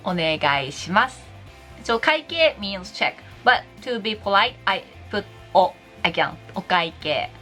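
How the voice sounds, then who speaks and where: A woman's voice: mid-range voice, bright, forceful tone. A young woman from Japan, speaking English with a Japanese accent, giving a bubbly, chatty video lesson and laughing often. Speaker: Japanese language teacher.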